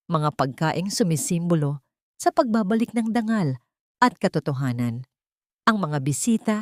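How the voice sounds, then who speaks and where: Low-pitched woman narrating drama with lively intonation. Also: no room sound, clean studio sound. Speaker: audiobook narrator. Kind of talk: scripted dramatic story narration.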